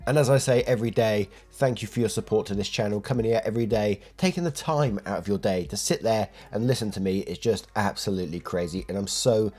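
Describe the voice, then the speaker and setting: A man's voice: fairly low pitch, fast talker, few pauses, darkish tone, soft background music. A British man with a stuffy cold, chatting and reading online stories aloud as a channel host. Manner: friendly, chatty, thanks listeners. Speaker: story narrator. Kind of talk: casual reading of online posts.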